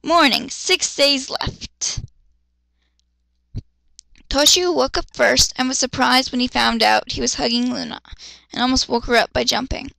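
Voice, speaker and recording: High-pitched, bright-voiced sarcastic woman. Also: steady tone, unhurried, vocal fry, pauses often, no room sound, poor audio. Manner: sarcastic, exasperated, foul-mouthed. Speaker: reader of fan fiction. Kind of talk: dramatic reading with mocking commentary.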